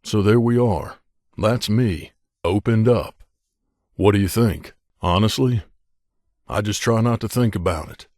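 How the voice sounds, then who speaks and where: A male actor plays a guarded wasteland mercenary in a video game, confiding in his companion. His voice sits fairly low and is recorded close and dry. He speaks earnestly and with measure, like someone who carries guilt. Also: darkish tone, no room sound, natural voice. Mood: opening up, then bitter, reserved.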